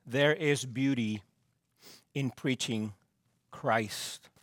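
A man preaching a sermon as pastor, his voice straining and hoarse, with slow, emphatic delivery that repeats key phrases and drops low at the ends of lines. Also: a bit bright, no room sound. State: losing his voice, clearing throat.

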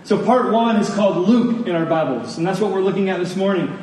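A man preaching a sermon as a pastor, conversational and earnest, with rhetorical questions and emphatic pauses. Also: high voice, a little mellow. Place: large room, preaching to a congregation. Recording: echoey room, distant mic, faint noise.

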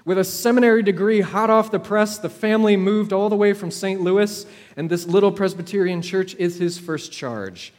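A high-voiced man delivers a sermon in a space with long, ringing reverb. He preaches like a storyteller, measured and deliberate. Dramatic pauses and repeated phrases shape his delivery. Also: a little mellow.

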